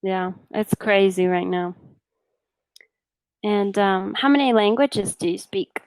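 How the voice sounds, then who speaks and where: Easygoing chatty woman tutor, smooth voice. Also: medium pitch, takes it slowly, a little mellow, no room sound, poor audio. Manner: easygoing and chatty, many fillers like yeah and you know. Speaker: online English tutor. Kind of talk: casual conversation practice. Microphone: video call.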